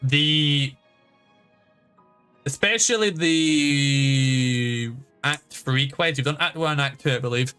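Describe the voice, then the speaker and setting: A man's voice: mid-range voice, slow pace, long pauses, pushed and bright, clear, resonant tone. A man chatting casually as a game streamer, rambling and swearing freely as he goes. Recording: music playing behind the voice. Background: background game music.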